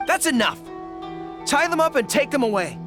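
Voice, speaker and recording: A man's voice: very high voice, sing-song, moderate pace, over music. A man voicing an earnest young army general in a video game, dutiful and protective of the troops. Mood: stern, indignant. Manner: earnest, resolute, emphatic.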